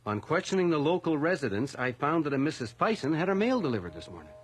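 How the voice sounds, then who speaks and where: A man's voice: on the high side, very animated, fast. An actor playing a deferential male police constable in a radio drama, formal and somewhat hesitant in reporting.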